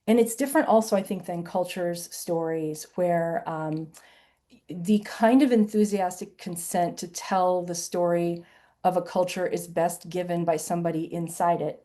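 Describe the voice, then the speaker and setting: Chatty fifty-something woman, mellow voice. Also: fairly low pitch, moderate pace. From Southern United States and Illinois. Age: 50s. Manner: chatty, animated, tags points with "right?". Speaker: author and webinar presenter. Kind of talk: conversational webinar Q&A answer.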